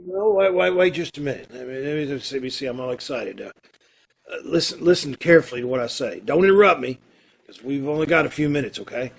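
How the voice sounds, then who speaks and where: A man acting a kindly, earnest condemned prisoner in an old-time radio play, with hesitant, folksy phrasing and a gravelly, husky voice. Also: mid-range voice, lively intonation. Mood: excited, urgent. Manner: hesitant, halting, folksy and informal.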